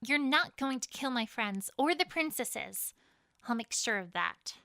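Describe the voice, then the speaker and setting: A woman playing a proud, conflicted pony queen, telling her story in first person in a dramatic, expressive fan fiction reading. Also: a little high, a little slow, vocal fry, no echo, clean studio sound, exaggerated voice. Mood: defiant, alarmed.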